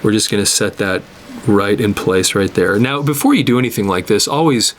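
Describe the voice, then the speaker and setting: A man giving an unscripted hands-on repair tutorial, explaining step by step in a halting way and repeating words as he works. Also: on the low side, slight background noise. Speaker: instructor demonstrating cassette tape repair.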